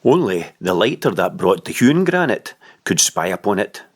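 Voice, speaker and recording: Low-voiced, animated male storyteller. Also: normal speed. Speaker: audiobook narrator. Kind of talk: expressive scripted literary narration.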